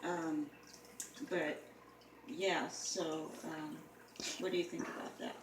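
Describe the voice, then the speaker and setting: A woman talking casually and haltingly, with many "you know" fillers. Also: sits low, fairly even intonation. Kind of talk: casual conversation. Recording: echoey room, mic far off, noisy.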